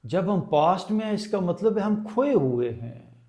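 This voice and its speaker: A man leading a guided meditation as a teacher, speaking calmly and deliberately with long pauses. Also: sits high.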